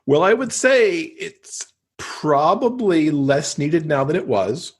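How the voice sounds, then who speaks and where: A man's voice: a little high, lively intonation, slow pace, slightly rough. A man speaking as a podcast guest in casual conversation, explaining at length with conversational asides.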